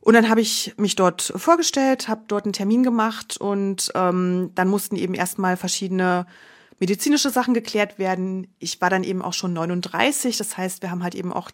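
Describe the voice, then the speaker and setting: A woman's voice: medium pitch, fairly quick. A German woman from Berlin in her forties, speaking candidly and reflectively as an interview guest, often restarting her sentences. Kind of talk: personal interview.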